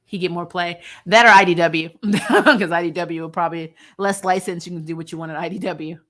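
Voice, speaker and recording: Bright-voiced woman chatting bluntly, quick to laugh. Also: fairly low pitch, steady tone. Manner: opinionated, blunt, laughs often, salty language. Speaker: host of a comics and TV recap show. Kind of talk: casual chat, reviewing superhero shows and answering viewer comments.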